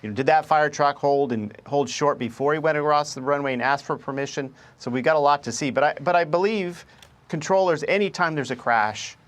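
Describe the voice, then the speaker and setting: Man, expert guest, mid-range voice, unscripted interview commentary, repeated words at starts. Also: medium pitch. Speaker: aviation expert, former government spokesman.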